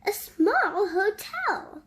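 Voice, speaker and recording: A woman's voice: very high voice, sing-song, very slow, a bit bright, pitched above the performer's own voice, exaggerated voice. A woman voicing a friendly dog from a children's storybook, with exaggerated, childlike exclamations.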